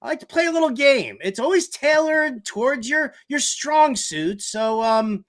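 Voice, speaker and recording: A man's voice: very high voice, animated, a little slow, noisy background. An American man hosting a sports show, chatting casually and informally like a fan. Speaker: host of a sports and fantasy football show.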